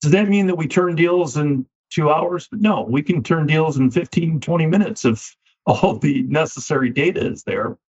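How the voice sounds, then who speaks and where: A man, a finance company CEO, answering interview questions with a slightly scratchy voice, measured and often repeating words as he starts a thought. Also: fairly high pitch, darkish tone, slightly rough. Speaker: equipment finance CEO, guest. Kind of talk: business podcast interview. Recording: dull lo-fi sound.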